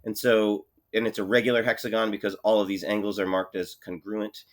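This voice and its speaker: A somewhat low-voiced man with a cold, his voice thick and congested, works through problems for his class. He is relaxed and thinks aloud, with frequent fillers and restarts. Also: brisk. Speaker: math teacher. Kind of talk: recorded lesson walkthrough.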